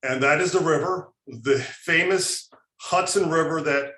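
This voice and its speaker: A man's voice: on the high side, bright, forceful tone. Reflective man in an echoey room. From Albany, New York. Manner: reflective, unhurried, many fillers. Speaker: panelist. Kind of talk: personal storytelling presentation. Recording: roomy echo.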